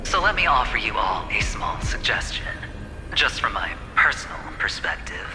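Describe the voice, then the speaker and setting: An actor playing a smooth, scheming young male corporate negotiator in a video game, teasing and slipping into soft asides. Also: on the low side, sing-song, normal speed. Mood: sly. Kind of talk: game cutscene voice acting. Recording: reverb with game music behind.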